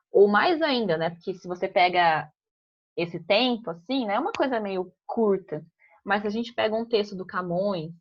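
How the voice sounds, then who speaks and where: A young woman from Piracicaba in Brazil, teaching a casual grammar class and often checking in with "né?". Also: medium pitch. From Piracicaba, São Paulo state, Brazil. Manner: conversational, frequent "né?" tags and restarts. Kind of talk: informal online grammar class. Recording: muffled lo-fi audio.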